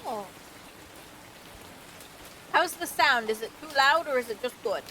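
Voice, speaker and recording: A woman game streamer doing a casual, expressive let's play, reacting and reading on-screen text aloud. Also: high-pitched, measured pace, a little hiss or hum. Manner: expressive, reactive, mild exclamations. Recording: loud rain and game ambience behind. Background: loud rain and game ambience.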